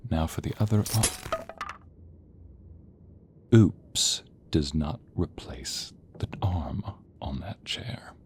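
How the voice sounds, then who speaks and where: A man voicing a sadistic, theatrical sorcerer villain in an audio roleplay, silky and menacing, drawing out words and slipping into breathy whispers. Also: sits low, darkish tone, a little hiss or hum, heavily acted. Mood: clinical, controlled. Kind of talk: audio roleplay acting addressed to the listener.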